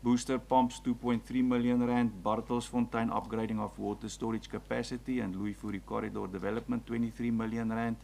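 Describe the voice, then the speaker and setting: A gravelly-voiced man reports to council, reading figure after figure in a steady list with the odd "um". A faint hum sits under the chamber sound. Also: medium pitch, fairly quick, few pauses. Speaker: executive mayor.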